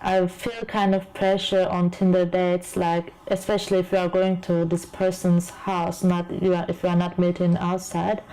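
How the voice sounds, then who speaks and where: A woman's voice: fairly low pitch, little pitch movement, moderate pace, few pauses, warm tone, slight background noise, rough recording. A woman in her twenties from Turkey, an exchange student, answering interview questions plainly. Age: 20s.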